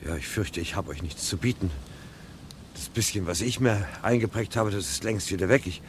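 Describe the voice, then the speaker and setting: An actor playing a dazed, unsure fugitive ex-fireman in a German radio play, speaking haltingly. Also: fairly low pitch, noisy background, close to an everyday voice. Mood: hesitant, ashamed. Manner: hesitant, halting.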